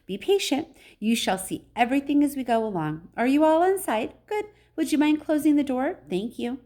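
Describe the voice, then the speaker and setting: An excitable, eccentric gentleman factory owner from a storybook, played by a woman. The voice is high and fluty and swoops about freely. He gushes politely in eager exclamations. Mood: brisk cheer. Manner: gushing, exclamatory, quick-fire politeness. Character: excitable, effusive, eccentric old-fashioned male factory owner.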